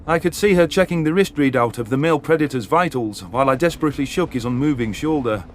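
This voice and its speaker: A man's voice: medium pitch, animated, fast talker, a little hiss or hum. A man narrating a science fiction story in the first person with dramatic delivery. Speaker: audiobook narrator. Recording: narration over background music.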